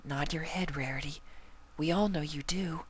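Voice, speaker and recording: Shy soft pony mare, man doing the voice, hushed and whispery, high. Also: normal speed, husky. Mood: coaxing. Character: timid gentle soft-spoken female pegasus pony.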